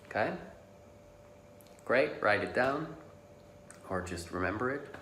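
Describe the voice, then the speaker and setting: A man's voice: lowish voice, lively intonation, a little hiss or hum. A man whose first language is Dutch, talking casually and encouragingly as a coach leading a guided tapping lesson. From Netherlands. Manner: casual, encouraging, drops to soft and slow when guiding. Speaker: social anxiety coach. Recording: echoey room.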